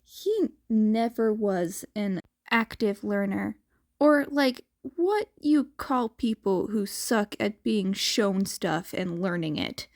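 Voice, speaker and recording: A woman's voice: medium pitch, lively intonation, takes it slowly. A woman reading a fan fiction story aloud as an amateur narrator.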